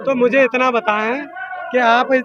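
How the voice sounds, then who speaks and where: A man's voice: very high voice, fairly even intonation, a little slow, muffled recording, noisy background. A man doing informal political street interviews, pressing people with probing questions. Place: outdoor public ground among a crowd. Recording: loud crowd around an outdoor microphone.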